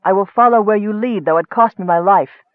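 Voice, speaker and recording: A man's voice: high voice, brisk, no echo, natural voice. A man voicing a noble, resolute warrior woman in a fantasy audiobook, earnest and solemn, on a muffled recording.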